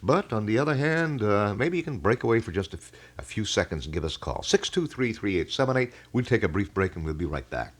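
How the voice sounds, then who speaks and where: A man's voice: lowish voice, big pitch swings, quick pace. A man hosting a call-in show in casual, wordy, folksy announcer style, as on a 1980 local radio broadcast. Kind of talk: casual live radio chat. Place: radio studio.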